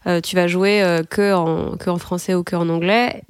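A woman interviewer chatting casually, relaxed and informal. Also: on the low side, moderate pace, clean tone, dry recording, studio quality.

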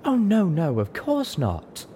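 A man's voice: on the low side, lively intonation, moderate pace, dark tone, slight background noise, natural voice. A man voicing an elegant, sardonic female unicorn with a drawling, sighing, ladylike manner in a fantasy audio story. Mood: catty.

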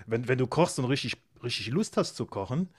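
A man's voice: mid-range voice, animated, a little fast, breathy edge, no echo. Hesitant man host, dry close sound. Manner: hesitant, many "äh" and "ähm" fillers. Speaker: podcast host. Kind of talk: casual interview.

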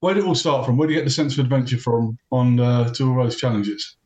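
A man's voice: medium pitch, fast, darkish tone, lo-fi sound. Chatty male host in an echoey room. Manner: chatty, self-deprecating, many fillers. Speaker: podcast host. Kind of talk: interviewing a guest.

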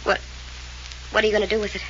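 An actress playing a defiant young woman of a wealthy family in a 1940s radio drama, sharp, defensive and sardonic. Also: mid-range voice, flat delivery, fast, lightly acted. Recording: dull old radio recording with faint hiss.

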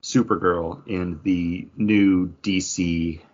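A man's voice: sits low, fairly even intonation, slow pace, a little mellow, muffled recording, a little hiss or hum. A man hosting a casual pop culture news chat in a conversational way, often acting out imagined quotes. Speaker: podcast host.

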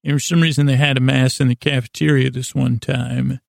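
An American man telling rambling, improvised stories as a podcast host, halting and often interrupting himself with deadpan whimsy. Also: medium pitch, no echo, studio quality. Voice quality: gravelly, creaky. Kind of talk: rambling, improvised monologue storytelling.

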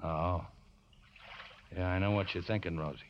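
Actor as low-voiced, rough-spoken boatman, muffled old radio. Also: steady tone, moderate pace, natural voice. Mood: matter-of-fact. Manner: folksy ungrammatical speech, affectionate. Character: rough-spoken, warm-hearted working boatman, devoted sweetheart. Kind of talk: 1950s radio drama acting. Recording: muffled, noisy old radio broadcast recording.

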